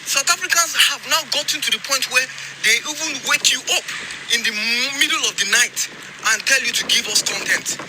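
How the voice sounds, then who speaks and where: A man, a social media creator, talking to camera in an animated, teasing, exclaiming way. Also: very high voice, normal speed, noisy background. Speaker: TikTok creator. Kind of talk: casual talking-to-camera video.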